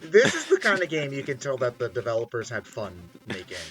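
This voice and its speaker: A man's voice: medium pitch, wildly swooping pitch, a little fast, a crowd in the background. A man chatting casually as a commentator over video game footage. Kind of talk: casual chat over gameplay. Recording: game music behind the voice.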